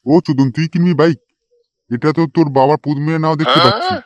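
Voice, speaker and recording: A man voicing a gruff, teasing, grumbling village fellow in a comedy cartoon. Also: fairly high pitch, fast talker, no room sound, natural voice. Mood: mocking annoyance. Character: gruff, mocking village petrol pump guy and club mate.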